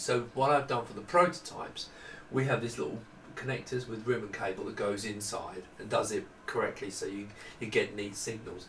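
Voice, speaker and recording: A man's voice: lowish voice, fairly bright tone. A middle-aged man giving an informal, unscripted product walkthrough, explaining as he goes and often breaking off to rephrase. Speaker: presenter demonstrating hardware prototypes. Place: small indoor workroom with desk. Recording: echoey room, distant mic, faint noise.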